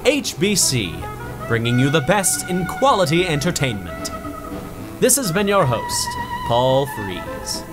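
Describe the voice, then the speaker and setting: An actor playing a genial old-time radio show host with a measured, announcer-like manner. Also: male voice, fairly high pitch, wildly swooping pitch, over music, full character voice, low-quality recording. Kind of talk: 1930s radio announcer acting.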